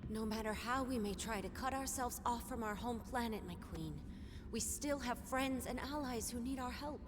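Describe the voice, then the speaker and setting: Woman as a high, earnest, principled royal sister. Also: moderate pace, lightly acted. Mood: earnest, defiant. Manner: earnest, formal pleading. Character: compassionate, principled royal sister defying her queen. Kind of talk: video game cutscene acting. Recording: reverberant hall with noisy ambience.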